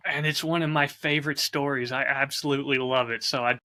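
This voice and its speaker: A man's voice: medium pitch, fairly even intonation, a little fast, pushed and bright. A man chatting casually and candidly as a podcast host, openly self-critical about his creative work. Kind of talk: casual chat.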